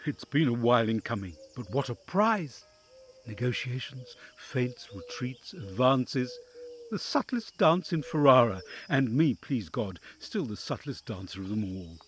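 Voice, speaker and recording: Actor as courtly counsellor, swooping expressive voice. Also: male voice, medium pitch, slow, soft background music. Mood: musing, expectant. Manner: formal, courtly, theatrical phrasing. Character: devoted, wary male counsellor to a noble family, secretly yearning. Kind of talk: audio drama acting with inner monologue.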